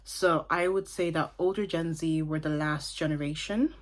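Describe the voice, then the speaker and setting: A woman's voice: low voice, fairly even intonation, moderate pace. A woman in her twenties from Dublin, chatting casually and thoughtfully about a book, with side remarks. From Ireland (Dublin). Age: 20s. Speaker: book vlogger. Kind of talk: casual book review talk.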